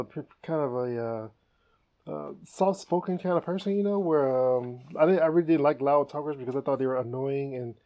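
A man hosting a comedy podcast, telling stories in a casual, animated way and laughing often. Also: fairly high pitch, vocal fry, highs cut off. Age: adult. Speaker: podcast host.

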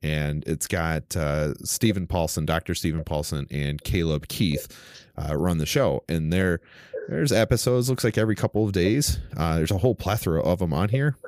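A man in his thirties hosting a casual theology podcast, talking in a halting, searching way. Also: low voice, a little mellow, no room sound, clean studio sound. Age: 30s. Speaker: podcast host.

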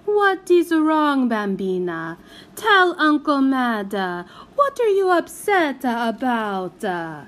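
A woman's voice: sits high, big pitch swings, slow, a bit bright, voice pushed up, heavily acted. A woman voicing a wheedling Italian-accented man who pleads in broken phrases. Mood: coaxing.

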